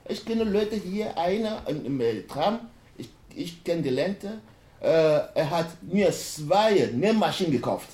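A man from Africa, speaking halting, broken German with many restarts as a panel speaker at a university event. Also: a little high, animated, darkish tone. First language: not German. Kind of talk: panel discussion talk. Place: university lecture hall. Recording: roomy hall echo.

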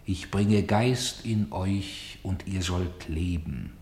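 An actor playing a grave, sorrowful storyteller in a radio play, with solemn and deliberate phrasing. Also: male voice, low-pitched, darkish tone. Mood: solemn, prophetic. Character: grave, sorrowful male storyteller bearing witness to tragedy.

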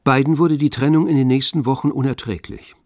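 A man narrating a literary radio play in a composed, measured manner. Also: mid-range voice, darkish tone, dry recording, studio quality, lightly acted.

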